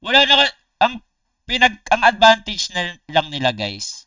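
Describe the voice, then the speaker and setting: A Filipino man chatting casually on a livestream, telling stories in animated, slangy, swear-laden talk to his viewers. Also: high-pitched, unhurried, no room sound, distant mic, lo-fi sound. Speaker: gaming streamer. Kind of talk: casual storytelling livestream chat.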